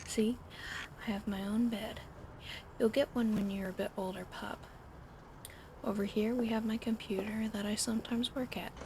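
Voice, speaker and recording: A woman whispering an ASMR roleplay in a soft, soothing, coddling way over an audible fan hum. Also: medium pitch, steady tone, moderate pace, pauses often.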